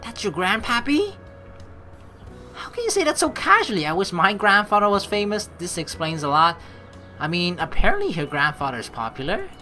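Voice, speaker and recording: A woman plays through a game aloud with a bright, forceful voice. Her pitch swings widely as she playfully narrates and rambles, with faint music underneath. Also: big pitch swings, moderate pace. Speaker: let's play host. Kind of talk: casual game playthrough commentary mixed with reading narration aloud. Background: game background music.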